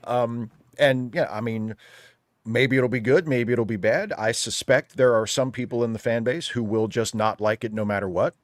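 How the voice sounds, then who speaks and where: A man's voice: mid-range voice, normal speed, no room sound, clean studio sound. A man commenting casually as a livestream panel guest, making points in emphatic short statements. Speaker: panel guest, video critic.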